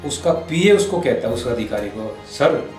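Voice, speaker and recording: In an echoey room with music underneath, a middle-aged man tells stories casually. His pitch is mid-range, and he speaks in a halting, colloquial way. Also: lively intonation, a little mellow. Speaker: interview guest, cartoonist. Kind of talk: casual anecdotal interview talk. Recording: roomy echo, music underneath.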